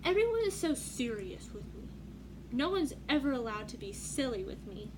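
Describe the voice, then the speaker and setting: A woman's voice: high-pitched, big pitch swings, moderate pace. A woman voicing friendly utensils in a picture book, earnest and admiring with a slightly plaintive manner. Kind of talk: children's picture book read-aloud. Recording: clearly noisy room background.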